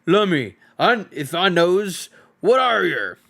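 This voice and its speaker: William: a man doing a big slow-witted troll. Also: sits high, big pitch swings, a bit bright, voice pushed up, heavily acted. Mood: gruff. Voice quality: rough, coarse common speech. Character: big dim-witted male troll, soft-hearted and slow.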